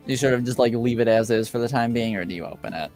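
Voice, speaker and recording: A man running a tabletop roleplaying game as game master, improvising casual narration and questions with thoughtful, halting phrasing. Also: mid-range voice, a little mellow. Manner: halting, pauses to think, brief affirmations. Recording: music under voice. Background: background music.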